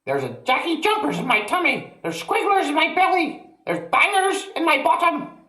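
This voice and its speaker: A shrill, nasty old grandmother, as a male storyteller puts her on, screeching orders in a voice pushed far above his own. She is bossy and cantankerous. The reading sounds roomy and echoey. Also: very animated, moderate pace, sharp tone, exaggerated voice. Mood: screaming. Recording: roomy echo.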